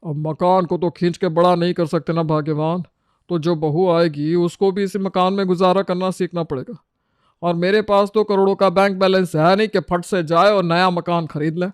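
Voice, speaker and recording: A man's voice: sits high, steady tone, moderate pace, clear, resonant tone, no echo, studio quality, natural voice. A man playing an exasperated, thrifty middle-aged Indian husband in a story, lecturing and sarcastic.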